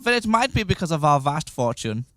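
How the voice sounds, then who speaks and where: A dry, close recording of a man acting a baby-faced storybook pig. He is fussy and earnest, speaking theatrically in a fairly high voice for a comic radio play. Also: very animated, brisk, a bit bright, dry recording. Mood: earnest, chatty.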